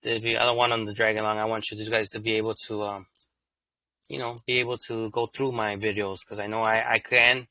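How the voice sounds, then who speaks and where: Brief: male host, bright and sharp tone, reads legal text flat then drops into loose slang asides, monotone by his own account. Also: on the low side, a little fast, no echo, low-quality recording. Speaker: channel host reading and commenting on court cases. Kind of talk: casual read-aloud commentary.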